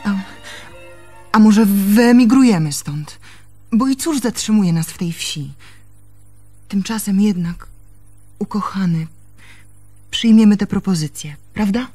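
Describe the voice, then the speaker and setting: Soft mellow young fiancee in a radio drama, gentle and pleading, mid pitch. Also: female voice, lively intonation, slow, long pauses, slightly rough, soft background music. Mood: tender, coaxing. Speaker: actress in a radio play. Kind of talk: radio theatre acting.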